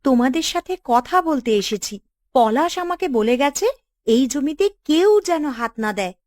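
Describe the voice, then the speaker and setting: A woman's voice: sits high, lively intonation, moderate pace, darkish tone, no echo, studio quality. An actress playing a bold, bossy village woman in a cartoon, assertive and scolding. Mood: defiant. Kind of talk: Bengali cartoon dialogue.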